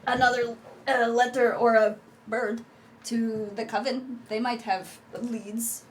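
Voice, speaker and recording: A woman's voice: fairly high pitch, little pitch movement, takes it slowly, slight background noise. A woman in her twenties playing a tabletop game, giving brief, agreeable replies. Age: 20s. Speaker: player. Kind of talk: casual chat at a tabletop game.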